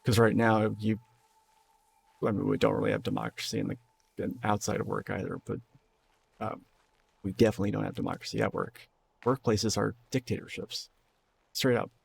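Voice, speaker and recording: A tired, hoarse man streaming a game, rambling in loose casual talk and swearing freely. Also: fairly low pitch, fairly even intonation, normal speed, long pauses, slight background noise. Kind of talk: casual live-stream chat.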